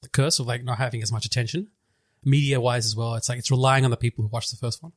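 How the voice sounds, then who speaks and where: A man with a nasal, congested voice from a cold chats as a podcast guest. He talks quickly and eagerly, repeating words as he goes. The sound is dry and close. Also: mid-range voice, fairly even intonation, no room sound. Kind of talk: casual podcast chat.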